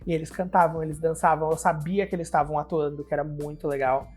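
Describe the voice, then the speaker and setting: A man in his twenties, a student and drag performer, giving a personal testimony to camera in a lively, expressive way. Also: a little high, quick pace, soft background music. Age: 20s.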